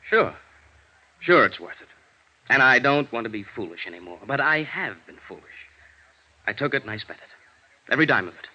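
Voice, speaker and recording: A dull old radio recording carries a bitter American prisoner. His low voice is tense and swings widely in pitch, sullen and defensive in vintage crime-drama fashion. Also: very animated, moderate pace, long pauses, bright, forceful tone, exaggerated voice. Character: defiant, bitter jailed embezzler, educated adult man.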